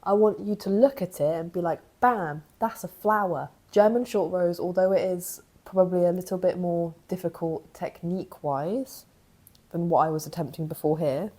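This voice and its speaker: A young woman, croaky from a cough, chatting casually in a knitting vlog as she thinks aloud. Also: fairly low pitch, normal speed, dark tone. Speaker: knitting vlogger.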